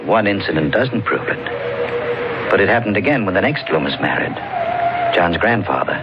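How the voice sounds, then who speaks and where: Deep-voiced, ominous old uncle, vintage radio. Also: male voice, very animated. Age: older. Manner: solemn, measured, foreboding. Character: grave, ominous old uncle of a cursed family. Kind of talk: 1940s radio mystery acting. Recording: muffled old radio broadcast, loud organ music.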